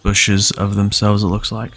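A man's voice: lowish voice, completely flat delivery, normal speed, fairly bright tone. A man in his thirties with a stuffed-up nose from a cold, hosting a casual let's-play and chatting as he plays, sometimes reading the game's dialogue aloud in his own voice. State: congested from a cold. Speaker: gaming channel host. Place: home room, webcam in corner. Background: faint game music.

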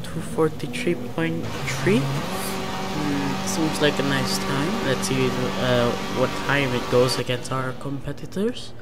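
Slow-talking Filipino man over game music. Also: medium pitch. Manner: laid-back, swears casually, talks to himself. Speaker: gaming channel host. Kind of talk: casual gameplay commentary. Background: game music and car engine.

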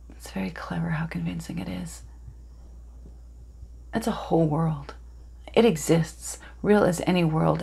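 Woman acting a hushed, intense teenage girl. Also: fairly low pitch, full character voice. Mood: entranced, urging. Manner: hushed, breathy half-whisper, slow and conspiratorial. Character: intense teenage girl entranced by magic and storms. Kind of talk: dramatic stage monologue.

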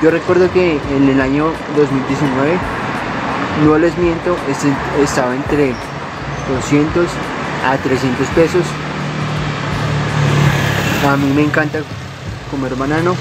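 A man's voice: a little high, fairly even intonation, unhurried, long pauses, very noisy. A young man from Colombia with a Bogotá accent, chatting casually to the camera outdoors on city streets and calling his viewers parceros. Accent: Bogotá Colombian Spanish. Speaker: cycling vlogger. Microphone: handheld or mounted camera close up. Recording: loud background music. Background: music.

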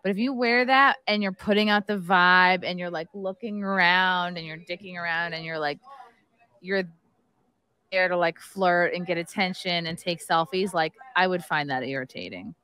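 A woman's voice: fairly low pitch, moderate pace, pushed and bright. A woman hosting a casual panel chat from a home streaming setup, reading articles aloud and breaking in with her own comments. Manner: reads articles aloud with running asides. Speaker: podcast host.

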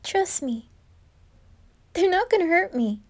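A woman voicing a kind-hearted, fearless young boy in an anime fan roleplay, speaking softly and earnestly. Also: very high voice, sing-song, slow pace, voice pushed up, heavily acted. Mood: sincere. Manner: soft, reassuring, earnest.

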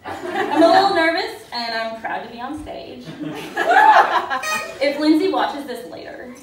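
A woman's voice: high-pitched, lively intonation, measured pace. A woman performing a comedic short story live on stage in a theatrical, mock-naive manner. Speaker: writer reading own short story on stage. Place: small stage venue with audience. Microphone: stand mic, heard through distant camera. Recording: echoey room, far from camera, noisy.

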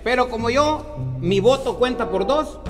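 Bossy high-voiced man judging. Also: expressive, normal speed, faint music behind. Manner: bossy, exasperated. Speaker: judge in sketch. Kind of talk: comedy sketch.

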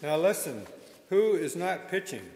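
Man, fairly high voice, matter-of-fact straight man, live stage comedy reading, echoey hall. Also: big pitch swings, unhurried, vocal fry, a little mellow. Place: small indoor stage in a hall.

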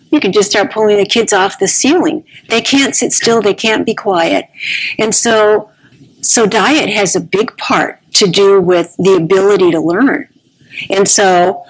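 A woman's voice: medium pitch, husky, low-quality recording. A woman in her sixties giving a conference talk at a podium, chatty and anecdotal, often restarting her sentences, on a small clip-on mic. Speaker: conference speaker. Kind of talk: conversational lecture. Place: conference room with curtained stage. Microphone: small clip-on mic at the neckline.

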